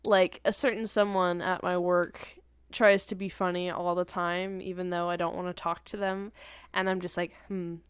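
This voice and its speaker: Young woman podcast co-host, giggly, slightly nasal, smooth dry voice. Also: fairly low pitch, little pitch movement, moderate pace, dry recording. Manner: giggly, laughs often. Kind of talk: casual chat.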